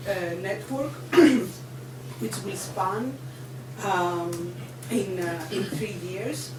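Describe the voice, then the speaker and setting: Woman opening a conference, slow and careful, lots of ums, faraway mic with hiss. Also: on the low side. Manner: slow and measured, with frequent fillers. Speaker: project coordinator opening a conference. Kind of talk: prepared opening remarks. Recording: echoey room from far off, background noise.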